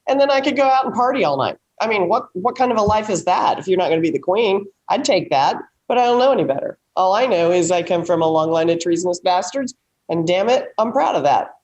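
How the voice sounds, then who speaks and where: A woman's voice: medium pitch, animated, fast talker, darkish tone, lo-fi sound. An American woman giving opinions in a casual panel chat, with a blunt, salty and folksy way of talking.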